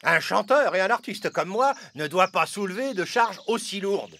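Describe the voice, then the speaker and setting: An actor voicing a carefree, vain male cicada musician in a French children's animated fable, with a theatrical, playful, sing-song delivery. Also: medium pitch, moderate pace. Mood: self-important. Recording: loud music behind the voice.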